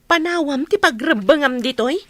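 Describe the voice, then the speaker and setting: A woman's voice: sits high, animated, moderate pace, dry recording. A woman playing a bossy, sickly, self-pitying aunt in an Ilocano radio drama, scolding in an Ilocano Filipino accent. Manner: scolding, demanding.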